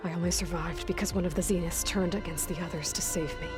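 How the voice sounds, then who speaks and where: A woman's voice: lowish voice, fairly even intonation, fast talker, close to an everyday voice. A woman voicing a determined young warrior leader in a video game, weary but resolute, with music behind her. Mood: somber. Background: background music.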